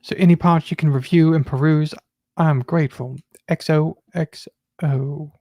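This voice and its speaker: Co-host, mid-pitched voice, casual chat mixed with reading aloud. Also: steady tone, a little slow, a little mellow, no echo, clean studio sound.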